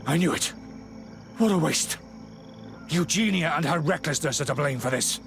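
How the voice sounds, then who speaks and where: A haughty, self-righteous priest from a fantasy game, with a fairly high voice that swings widely in pitch. He sounds fanatical and agitated, theatrical in his delivery, with clear music playing behind him. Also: animated, moderate pace. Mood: alarmed, scornful. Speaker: game character voice actor. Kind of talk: dramatic video game acting.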